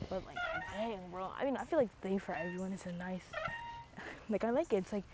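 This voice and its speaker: A woman's voice: medium pitch, animated, fairly quick. A young woman chatting casually on a stream, rambling with lots of fillers and slang like bro and dude. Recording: dull lo-fi mic with clear background noise.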